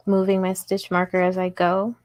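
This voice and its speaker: A woman's voice: fairly low pitch, completely flat delivery, normal speed, a little mellow, dry recording. A woman hosting a casual crochet livestream, chatty and giggly as she talks to her viewers. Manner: chatty, giggly, talks to chat while working. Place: indoors at home table.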